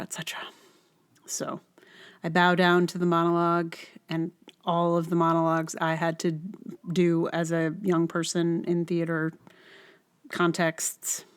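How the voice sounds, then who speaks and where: A woman hosting a solo podcast in a casual, rambling way, often thinking aloud in unfinished phrases. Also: fairly low pitch. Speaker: podcast host.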